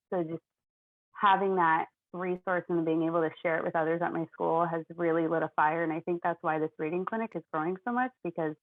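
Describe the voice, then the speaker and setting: Young teacher on a tinny video call, gravelly. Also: female voice, lowish voice, no room sound. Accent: American. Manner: earnest, chatty, many "um" and "like". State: has an ear infection, may sound loud. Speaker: first grade teacher. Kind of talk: online panel talk. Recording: dry, phone-like video call audio.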